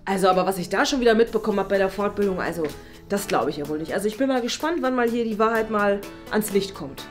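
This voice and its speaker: A young woman playing a gossipy, indignant school teacher in a comedy sketch, talking in halting, self-interrupting bursts. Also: mid-range voice, lively intonation, faint music behind, natural voice. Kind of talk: improvised comedy sketch acting. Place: small meeting room.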